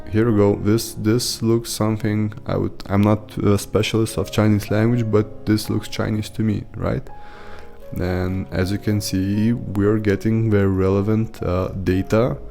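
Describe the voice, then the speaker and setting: A man's voice: lowish voice, flat delivery, unhurried, vocal fry, warm tone, faint music behind. A man in his thirties with Lithuanian as his first language, giving rambling, rant-style advice while showing his screen from a small home room. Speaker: YouTube host, team lead. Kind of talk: casual screen-share tutorial.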